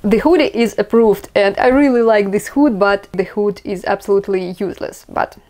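A woman's voice: medium pitch, fairly even intonation, normal speed, darkish tone. A young woman from Russia with a Russian accent, chatting casually while unboxing a haul in her bedroom, marvelling at each item. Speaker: vlogger. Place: small bedroom, indoors.

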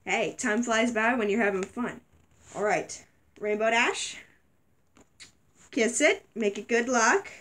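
A young woman vlogger chatting casually while unboxing toys, a little sick, her voice wearing thin, squealing excitedly at surprises. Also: a little high, takes it slowly, pauses often. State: slightly sick, voice going. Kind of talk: casual toy unboxing chat. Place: dim bedroom, indoors.

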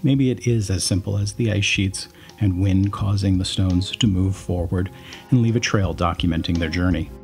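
A man giving scripted documentary narration, reading long quotes aloud in a measured, explanatory way. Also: lowish voice, a little mellow.

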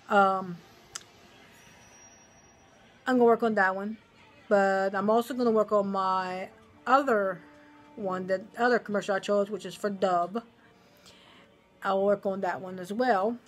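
A woman in her thirties with a Cajun accent and a naturally deep voice, rambling casually in a home video diary, full of ums and self-corrections. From Southern US. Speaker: vlogger, aspiring actress. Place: living room at home, indoors. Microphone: camera close at face level.

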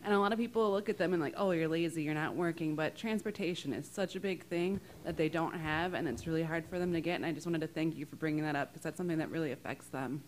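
A woman stands up in the audience and speaks quickly. Her voice is on the low side. Faint ambience of a large room surrounds her. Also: noisy background. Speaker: case manager, audience member.

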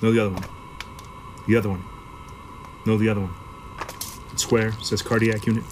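Gruff low-voiced shopkeeper played by a man. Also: flat delivery, brisk, background noise, lightly acted. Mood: matter-of-fact, jaded. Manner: gruff, profane, offhand storytelling. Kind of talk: sci-fi audio drama acting.

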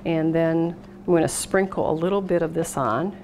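A woman's voice: deep voice, moderate pace, a little mellow. A middle-aged American woman hosting a casual cooking demonstration, talking unhurriedly through each step as she works. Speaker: cooking show host. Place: indoor kitchen, steel worktop. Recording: clattering dishes, noisy kitchen room sound.

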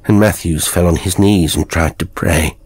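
A man's voice: low voice, moderate pace, a little mellow, slightly rough, no room sound. A man narrating a horror story in a grave, theatrical, dramatic scripted style.

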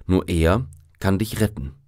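A man's voice: sits low, measured pace, darkish tone, no room sound, studio quality. A man hosting a children's radio show, speaking a scripted address directly to young listeners. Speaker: radio host. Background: music.

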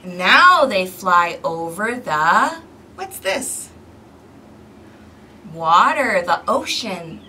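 A woman reading a picture book aloud to young children in an expressive, interactive way, recorded outdoors on a balcony. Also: medium pitch, very slow, long pauses. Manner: expressive, clearly paced, questioning as if to young children. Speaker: storytime host for a community service. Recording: distant mic with outdoor background noise.

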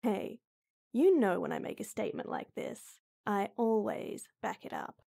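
A woman playing a gruff but caring tomboy best friend in an intimate audio roleplay, blunt and exasperated, with frequent sighs. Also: medium pitch, animated, unhurried, mellow, full character voice. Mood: scolding, concerned.

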